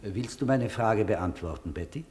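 An actor playing a patient, fatherly judge in a radio play, gentle and formal with quiet authority. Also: lowish voice, animated, moderate pace, slight background noise, natural voice. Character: patient, fatherly male judge questioning a child. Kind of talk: German radio play acting.

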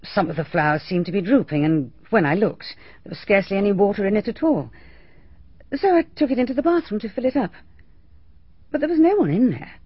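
An actress playing a composed, well-spoken village hostess who answers briskly and a little defensively in a radio drama. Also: female voice, medium pitch, expressive, husky, dry recording. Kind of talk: BBC radio drama acting. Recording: dull archival radio recording.

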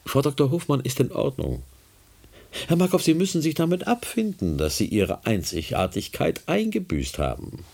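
An actor in a German science-fiction radio play, playing a cool, smug, condescending scientist with a clinical manner. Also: male voice, medium pitch, very animated, normal speed, gravelly, darkish tone, breathy edge, exaggerated voice. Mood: smug, composed.

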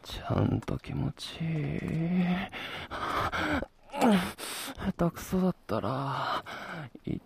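A man's voice: medium pitch, animated, unhurried, vocal fry, a little hiss or hum. A man playing an adoring, possessive young lover in an intimate audio drama, murmuring coaxingly and often whispering. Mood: hushed, tender.

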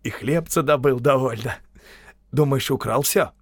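A man's voice: mid-range voice, unhurried, dry recording, studio quality, voice pushed up, natural voice. A man voicing a sly, brash male peasant tramp in an audiobook story, boastful and teasing in rustic, colloquial speech. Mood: boisterous, breathless.

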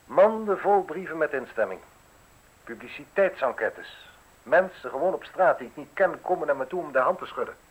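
A man's voice: fairly high pitch, expressive, moderate pace, husky. An actor playing a defiant, self-assured newspaperman in a Dutch radio play, arguing in an emphatic, unyielding way. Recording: dull lo-fi radio play recording.

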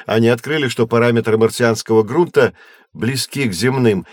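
An actor playing a patient, knowledgeable teacher in an educational audio drama, explaining in measured, clear phrases. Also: male voice, medium pitch, no room sound, studio quality, close to an everyday voice. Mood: explanatory. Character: patient, knowledgeable male astronomy teacher guiding children.

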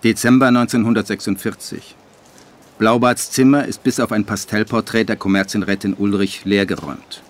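Male actor, scripted narrator, lowish voice, measured, matter-of-fact, radio play. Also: fairly even intonation, slight background noise, natural voice. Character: radio-play narrator announcing date, scene and setting.

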